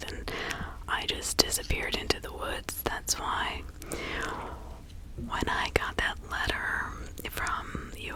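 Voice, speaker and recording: Woman as secluded colonial witch, breathy whisper, slow pace, gossipy and cautious, confiding ASMR roleplay. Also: lightly acted, poor audio. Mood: secretive, wary. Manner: confiding, rambling, conversational. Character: reclusive 1600s village witch, gossipy, widowed, cautious fortune teller. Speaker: ASMR performer.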